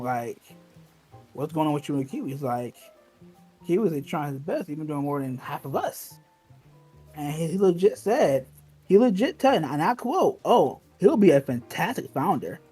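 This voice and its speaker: A man's voice: fairly high pitch, sing-song, moderate pace, dark tone, husky, faint music behind. A man reminiscing in casual, slangy talk, emphatic and often repeating himself.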